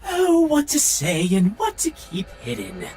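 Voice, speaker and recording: A man's voice: high-pitched, huge pitch swings, measured pace, voice pushed up, exaggerated voice. A man voicing a smug, secretive artificial intelligence guide in a video game, theatrical and teasing. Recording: loud background music.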